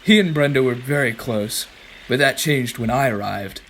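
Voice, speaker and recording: A man's voice: medium pitch, moderate pace, a bit bright, lightly acted, low-quality recording. An actor playing a florid, lovelorn French ladies' man in his fifties, with a French accent, in a radio play. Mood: smitten. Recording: faint background noise and ambience.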